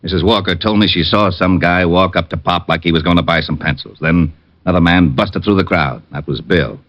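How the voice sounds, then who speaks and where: An actor playing a grouchy, quick-tempered police lieutenant in an old radio drama. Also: male voice, low-pitched, natural voice. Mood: briefing. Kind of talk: 1950s radio drama acting. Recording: dull old radio recording.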